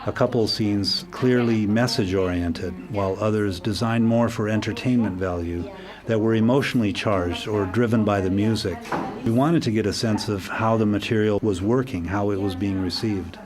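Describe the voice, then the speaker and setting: A man giving an explanatory, documentary-interview style account of a production, pausing mid-sentence to find his words. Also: lowish voice, a crowd in the background. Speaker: radio drama project lead explaining the production. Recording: roomy echo with loud music behind. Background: music with guitar.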